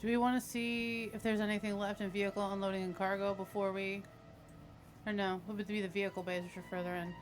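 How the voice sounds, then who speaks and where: A woman's voice: mid-range voice, level tone, fast talker. A woman playing a tabletop roleplaying game, chatting casually in character in a blunt, sweary manner. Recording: background music.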